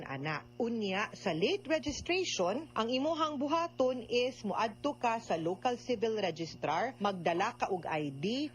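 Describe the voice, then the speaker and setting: A woman with a Cebuano accent giving conversational legal advice on the radio, explaining step by step with English terms mixed in and frequent tag questions. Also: mid-range voice.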